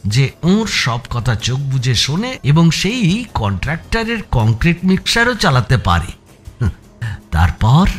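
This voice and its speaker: A man playing a curious first-person narrator in a thriller audiobook, telling it with expressive suspense. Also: a little high.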